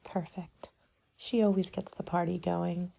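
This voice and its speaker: A woman performs a soft-spoken ASMR roleplay with a dark, relaxed tone and a breathy, husky edge. She talks in a polite, measured, businesslike way, like a consultant taking a client through options. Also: lowish voice. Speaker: party planning agent in an ASMR roleplay.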